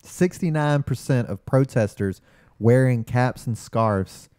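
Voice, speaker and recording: Male co-host for casual podcast banter: mellow tone, teasing, challenging, dry sound. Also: medium pitch, slow, no echo.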